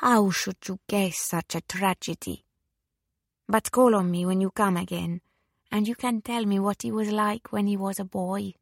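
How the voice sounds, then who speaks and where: A woman's voice: medium pitch, fairly even intonation, normal speed, gravelly, no room sound, studio quality. A woman voicing a theatrical, impulsive young Italian widow in an audiobook, with an Italian accent and an effusive manner. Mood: soft, coaxing. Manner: effusive, dramatic.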